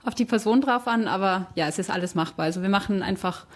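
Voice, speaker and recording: A young Austrian woman with a soft Tyrolean color in her standard German. She answers questions in a relaxed, chatty way, with fillers and quick self-corrections, at an ordinary mid pitch. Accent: Austrian, Tyrolean-tinged standard German. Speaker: German teacher abroad. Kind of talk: casual interview answers.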